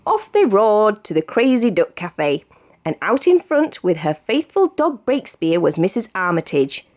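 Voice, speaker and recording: British woman reading a children's story, very animated. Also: medium pitch, measured pace. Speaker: storybook reader. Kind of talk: expressive children's read-aloud narration.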